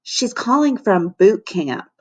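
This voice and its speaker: A woman voicing a wobbly, swooning female school librarian in a weak, sighing, halting manner. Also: mid-range voice, big pitch swings, darkish tone. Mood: faint, wobbly.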